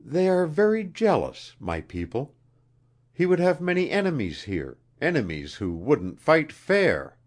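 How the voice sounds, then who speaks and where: A man voicing an anxious, earnest young queen in a storybook reading, halting and pleading. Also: a little high, wildly swooping pitch, pitched above the performer's own voice, heavily acted.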